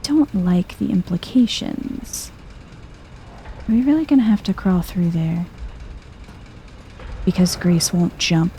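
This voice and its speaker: A woman giving soft-spoken, chill ASMR-style commentary as she plays a video game, murmuring as if to herself. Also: fairly low pitch, lively intonation, slow, long pauses, slight background noise. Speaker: video game streamer, let's player.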